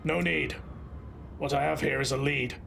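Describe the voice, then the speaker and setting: An actor playing a hard-boiled, impatient police marshal in a noir audio drama, gruff and clipped with dry wit and a gravelly voice. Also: male voice, a little high, fairly even intonation, moderate pace, pushed and bright, a little hiss or hum, exaggerated voice. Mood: brooding narration. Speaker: narrator and lead character.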